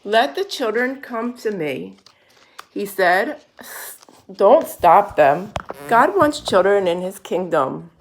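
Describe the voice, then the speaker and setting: A woman reading picture books aloud to a young child as a storyteller, pausing to explain things simply. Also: mid-range voice, sing-song, slight background noise, low-quality recording. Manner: simple explanations to a child between read passages.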